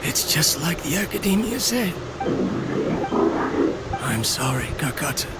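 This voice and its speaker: A man's voice: on the high side, sing-song, measured pace, heavy background noise. An actor playing a tired, sorrowful male researcher who talks to his machine in a game cutscene. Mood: resigned. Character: weary, lonely male researcher building machines.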